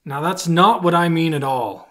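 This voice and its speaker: A man as an earnest, higher-voiced police commissioner. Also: normal speed, close to an everyday voice. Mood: defensive. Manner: firm, protesting. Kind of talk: fan-made audio drama acting.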